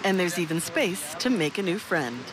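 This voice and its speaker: A woman's voice: lowish voice, expressive, moderate pace, background noise. An American woman reporter reading scripted narration for a TV feature story. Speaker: TV news reporter.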